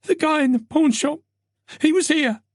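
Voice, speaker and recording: A man's voice: very high voice, normal speed, no echo, clean studio sound, pitched above the performer's own voice. A man doing a tense, frightened woman detective. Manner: urgent, breathless, clipped. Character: frightened young female detective, tense and determined.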